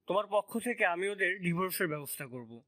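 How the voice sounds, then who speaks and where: A man playing a calm, firm middle-aged father in a dramatised audio story, speaking in a measured way. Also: high voice, no echo. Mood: soothing, resolute. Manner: measured, soft-spoken, firm. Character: calm, firm father, protective of his wife.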